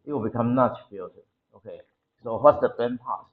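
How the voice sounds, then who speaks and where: A man with a Taiwanese accent lecturing to a class, explaining technical material haltingly and often repeating his phrases. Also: mid-range voice, narrow, tinny audio. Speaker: university lecturer. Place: indoor classroom.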